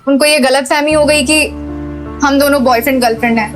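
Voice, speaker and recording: An actress plays a rich young woman with a high voice, bossy and impatient. She talks down to people in curt audio drama style, with faint music underneath. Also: fairly even intonation, brisk, lightly acted. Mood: explaining, condescending. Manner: curt, condescending, mixes in English.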